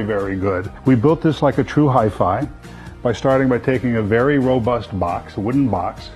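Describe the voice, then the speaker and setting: A man in his sixties, a company founder presenting his product to camera in a measured, explanatory way. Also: lowish voice, darkish tone. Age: 60s. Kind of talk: product pitch to camera, semi-scripted. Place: living room with sofas, indoors.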